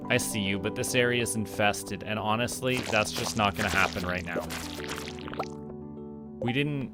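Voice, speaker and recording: A man from Canada giving casual, relaxed gameplay commentary on a livestream, playfully muttering to himself and repeating phrases. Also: lowish voice, a little slow. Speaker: game livestreamer. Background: game music.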